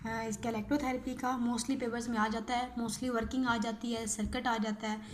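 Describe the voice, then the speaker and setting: A woman with a somewhat high-pitched voice teaches in an informal, explanatory way. She repeats phrases as she goes and punctuates points with brief check-in words. Faint noise and clicks sit in the homemade recording. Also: level tone, moderate pace. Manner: repetitive, checking in with frequent tag words. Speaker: physiotherapy lecturer.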